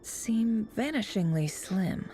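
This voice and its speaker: An inquisitive investigator in a fantasy game. Her mid-pitched voice is thoughtful, as if musing to herself, with clear ambient noise behind it. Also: animated, takes it slowly, dark tone. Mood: pensive. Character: pensive, inquisitive female investigator. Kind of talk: dramatic video game voice acting.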